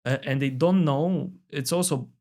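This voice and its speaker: A man's voice: medium pitch, moderate pace, a little mellow. A man from Romania, hosting an interview podcast and speaking fluently at length, with some fillers. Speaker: podcast host. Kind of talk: interview host.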